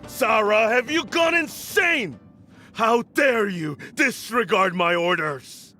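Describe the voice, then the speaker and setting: Proud older nobleman, haughty, formal, scheming, forceful bright tone, swooping grandiose delivery, game drama. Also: high voice, animated, takes it slowly, faint music behind, full character voice. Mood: outraged. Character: proud, scheming older male clan lord, arrogant and grandiose. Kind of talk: video game drama acting.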